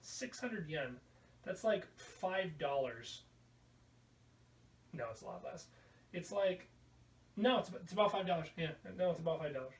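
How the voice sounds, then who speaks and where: A man's voice: fairly high pitch, animated, measured pace, pauses often. A man hosting a casual video podcast, rambling through a show-and-tell with an irreverent, crude sense of humor. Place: indoor room at home. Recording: roomy, distant mic, faint background noise.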